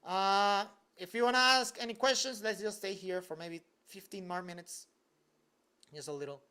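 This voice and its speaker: A man's voice: high-pitched, normal speed, bright, forceful tone, clear, resonant tone. Young man, casual livestream Q&A, joking, filler-heavy, bedroom desk. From Venezuela. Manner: loose and joking, heavy use of "like". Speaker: YouTuber answering live chat. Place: home bedroom at his desk.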